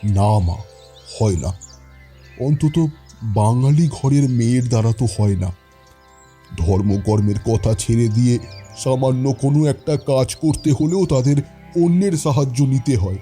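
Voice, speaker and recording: Man playing a gentle aging father. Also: mid-range voice, a little slow, long pauses, soft background music, lightly acted. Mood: persuading. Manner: soft, pleading and patient. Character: aging gentle father pleading with his daughter. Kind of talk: audio drama acting.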